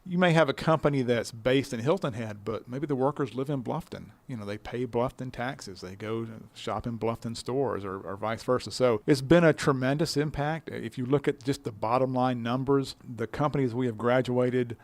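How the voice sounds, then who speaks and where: A man's voice: mid-range voice, moderate pace, hardly any pauses, dry recording. A man speaking conversationally as an interviewed director, explaining things in a folksy, stop-and-start way. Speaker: business incubator director being interviewed.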